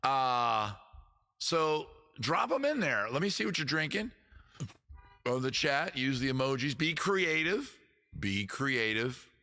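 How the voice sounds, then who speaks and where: A man with a raspy voice hosting a casual livestream show, chatting quickly and loosely with viewers in the chat. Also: mid-range voice, very animated, bright, forceful tone. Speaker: livestream music show host.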